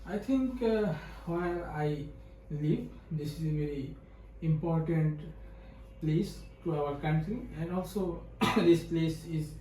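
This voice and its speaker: A young man with a Bangladeshi English accent answers the examiner haltingly and fills his pauses with "uh". His voice is pitched fairly high but sounds mellow. He is picked up from well away from the mic in a very echoey room, with faint ambience behind him. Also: slight background noise. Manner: hesitant, many "uh" fillers, halting grammar. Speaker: test candidate.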